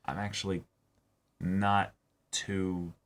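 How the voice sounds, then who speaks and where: A man's voice: deep voice, level tone, slow. A man co-hosting a reaction show, talking through his opinions in a casual, informal way as he thinks aloud. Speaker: co-host of anime reaction show. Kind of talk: casual discussion and commentary.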